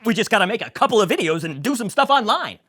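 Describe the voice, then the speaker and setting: A woman voicing an eccentric, excitable male neighbor who pitches schemes at speed. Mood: excited. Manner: rapid, excitable salesman pitch. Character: fast-talking eccentric man, scheming neighbor.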